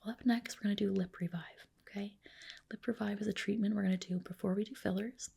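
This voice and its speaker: Asmr lady whispering as a spa clinician, super breathy and a bit crackly, gentle and attentive. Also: mid-range voice, fairly even intonation, fast. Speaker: ASMR performer playing a medical spa clinician.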